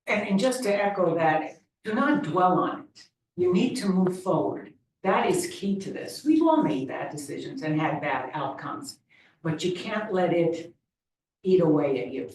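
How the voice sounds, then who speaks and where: A woman's voice: on the low side, big pitch swings, a little slow, darkish tone, slight background noise. A woman panelist giving firm, direct advice. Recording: echoey hall from far off.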